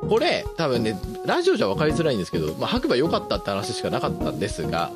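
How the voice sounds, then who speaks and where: Fast-talking, animated man podcast co-host. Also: medium pitch. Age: around 30. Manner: animated, explaining, conversational. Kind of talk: casual chat, telling personal stories. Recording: background music under the talk. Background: music.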